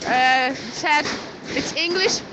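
A high-pitched young woman with a Ukrainian accent talks into her phone outside in the cold. She speaks English slowly, searching for words. Her voice comes through a muffled, lo-fi stream, and loud outdoor noise rumbles behind her. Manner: halting, searching for words, grateful. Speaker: live streamer. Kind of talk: live street stream, reading comments.

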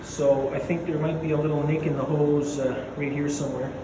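A man's voice: fairly high pitch, flat delivery, fairly quick. A man casually narrating his own basement repairs while filming on his phone, rambling and matter-of-fact with many fillers. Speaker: DIY home renovator. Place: concrete basement. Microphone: phone camera held while walking. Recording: echoey basement with background noise.

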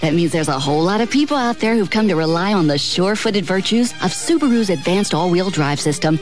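A woman's voice: lowish voice, lively intonation, brisk, faint music behind. A woman reading a car commercial in a conversational announcer style.

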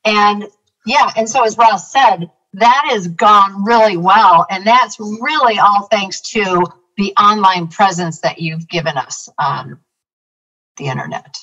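A woman's voice: medium pitch, slow, bright, forceful tone, recorded from a distance, highs cut off. A woman from a winery speaking in a podcast interview, earnest and explanatory. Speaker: winery representative.

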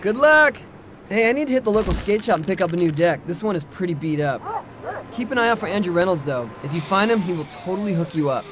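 A man's voice: high-pitched, animated, fast, background noise. A man playing an upbeat, friendly young male skateboarder buddy in a video game cutscene, easygoing and teasing.

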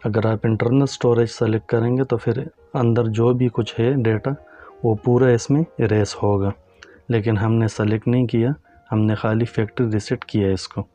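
A man calmly narrating a step-by-step phone tutorial and talking to viewers as friends. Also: lowish voice, moderate pace, faint music behind. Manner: calm, instructive, addresses viewers as friends. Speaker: tech tutorial host.

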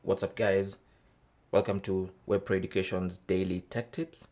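A man's voice: low-pitched, flat delivery, moderate pace, a little mellow. A man hosting an informal tech tutorial, explaining things casually step by step. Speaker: host of a tech tips show.

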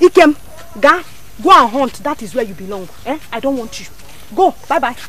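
A young woman acting as a teasing Nigerian village girl, scheming and sassy; high, lively voice, sing-song and coaxing. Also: a little fast. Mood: scornful. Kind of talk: Nollywood-style drama acting.

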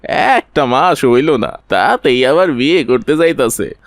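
A man's voice: mid-range voice, animated, measured pace, no echo, studio quality. A man playing a gossipy village man in a cartoon, speaking in colloquial rural dialect. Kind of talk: cartoon story voice acting.

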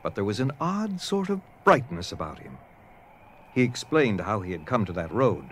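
A man's voice: on the low side, very animated, moderate pace, slight background noise. A man reading scripted narration for a dramatized story. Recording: music under the voice.

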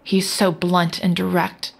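A woman's voice: fairly low pitch, little pitch movement, a little slow, gravelly. A woman playing a cold, accusing apparition of a dead soldier in an audio drama, speaking with relentless deliberation. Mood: cold, haunting. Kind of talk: scripted audio drama acting. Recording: music under the voice.

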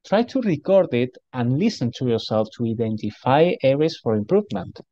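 A man's voice: medium pitch, big pitch swings, moderate pace, warm tone, no echo, lo-fi sound. A man with a strong Spanish accent talks over training slides in a chatty way, often asking "okay?" and saying things twice. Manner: chatty, repeats himself, asks "okay?". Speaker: pitch trainer.

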